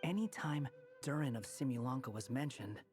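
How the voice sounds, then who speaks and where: An actor playing an aloof, sardonic young wandering scholar in a video game, curt and sharp-tongued. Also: male voice, mid-range voice, lively intonation, normal speed, soft background music, lightly acted. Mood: serious, brisk.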